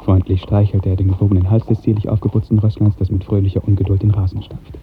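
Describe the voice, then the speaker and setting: A man narrating a literary radio drama in 1950s style, with measured, expressive storytelling. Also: lowish voice, over music. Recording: muffled, tinny 1950s radio recording.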